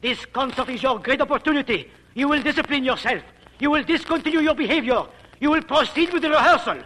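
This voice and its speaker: An actor playing a pompous foreign voice teacher in a 1940s radio drama, speaking broken English and referring to himself by name. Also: male voice, very high-pitched, quick pace, sharp tone, low-quality recording.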